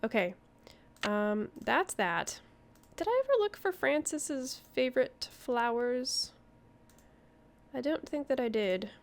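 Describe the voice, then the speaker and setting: A woman's voice: high voice, expressive, slow. A woman giving casual, chatty playthrough commentary as a gaming host, reacting aloud with interjections.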